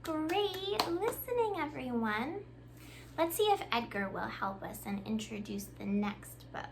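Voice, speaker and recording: High-pitched woman reading to young children. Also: measured pace, gravelly, background noise. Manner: expressive, addressing young children with simple questions and praise. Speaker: children's librarian, storytime host. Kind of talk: children's storytime read-aloud.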